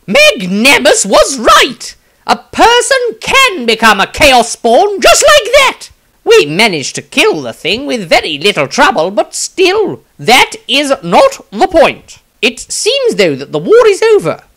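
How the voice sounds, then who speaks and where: Excitable, wildly swooping wizard. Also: male voice, very high-pitched, slow pace, pitched above the performer's own voice, full character voice. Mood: excited, scornful. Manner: theatrical, exclamatory, swooping. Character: excitable, opinionated male wizard, scornful of religion. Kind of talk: fantasy storybook diary reading.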